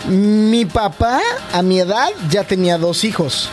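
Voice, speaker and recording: A man hosting a radio show, chatting in a jokey, slangy, animated way. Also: high-pitched, unhurried, over music. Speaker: radio host. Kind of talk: casual radio chat.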